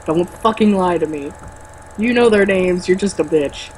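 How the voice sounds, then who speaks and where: A woman's voice: lowish voice, normal speed, darkish tone, slight background noise, poor audio. A woman reading a novel aloud and breaking into exasperated, sarcastic, sweary commentary and casual banter.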